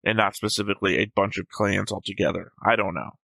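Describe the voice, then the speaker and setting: A man with a fairly low but bright voice reads methodically through his notes in a casual discussion. He stays careful and measured, and the sound is dry and close. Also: steady tone, gravelly, no room sound. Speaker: reaction show host.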